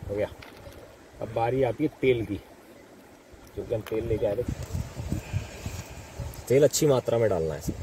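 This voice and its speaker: A man's voice: mid-range voice, normal speed, long pauses. Chatty man vlogging outdoors by a river. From India. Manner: chatty, addresses viewers as friends. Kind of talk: casual outdoor cooking vlog. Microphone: phone camera at arm's length. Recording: noisy outdoor phone recording.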